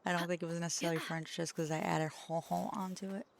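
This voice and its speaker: A woman's voice: fairly low pitch, flat delivery, quick pace, vocal fry, slight background noise. A woman VTuber streamer chatting casually with her chat, swearing often and poking fun at herself. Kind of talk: casual livestream chat, answering chat.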